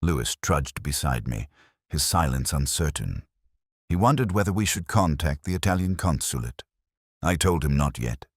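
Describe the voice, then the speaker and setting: A man playing a very deep-voiced, brooding detective. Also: animated, no echo, studio quality, heavily acted. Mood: brooding, reflective. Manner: measured, reflective, literary phrasing. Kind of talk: scripted first-person detective narration, audio drama.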